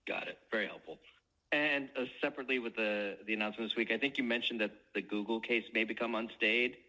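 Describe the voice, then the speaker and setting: Analyst guy on an earnings call asking questions, lowish voice. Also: quick pace, pushed and bright, low-quality recording.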